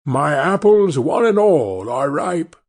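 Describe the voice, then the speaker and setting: A man's voice: fairly high pitch, very animated, slow pace, darkish tone, full character voice. A man voicing a talking apple tree that begs for help in a storybook tale. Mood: pleading. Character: apple tree begging to be shaken.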